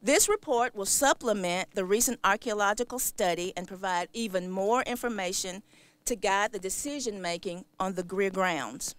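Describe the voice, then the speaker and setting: A woman's voice: medium pitch, normal speed, a bit bright, smooth, dry recording. A middle-aged American woman delivering a scripted public announcement as a department director. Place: open parking lot outdoors. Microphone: podium microphones.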